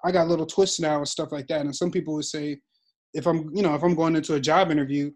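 A man's voice: fairly high pitch, steady tone, quick pace. A young man moderating a casual group discussion, thoughtful and rambling with many fillers.

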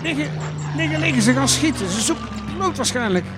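Man playing an anxious high-voiced village father. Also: animated, fast, over loud music. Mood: alarmed, protective. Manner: urgent, nervous, hushing. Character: anxious Dutch village father in wartime, cautious and protective. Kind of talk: audio drama re-enactment.

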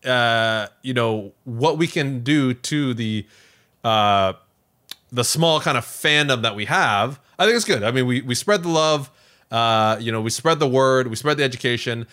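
A man co-hosting a podcast, chatting casually in an animated, playful way. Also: mid-range voice, a little slow. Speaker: podcast co-host.

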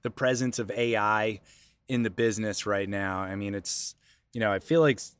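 A man hosting a podcast, talking conversationally as he interviews a guest. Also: medium pitch. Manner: conversational, many fillers and restarts. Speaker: podcast host. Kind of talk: casual interview, reading an article aloud.

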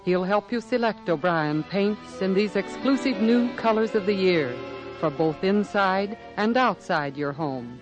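An American man announces a 1950s radio commercial. His voice is high for a male, polished and salesmanlike, with faint music behind it. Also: animated, a little slow, low-quality recording. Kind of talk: 1950s radio advertisement, scripted.

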